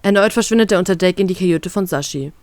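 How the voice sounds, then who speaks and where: A woman narrating her own fan fiction aloud in a steady, plain reading voice. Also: fairly low pitch, fast talker, no room sound.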